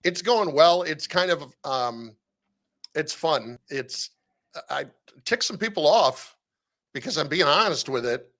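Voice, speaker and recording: A man speaking as a guest in a casual sports podcast interview, telling stories in an animated, conversational way. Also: fairly high pitch, unhurried. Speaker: interview guest, sports radio play-by-play announcer.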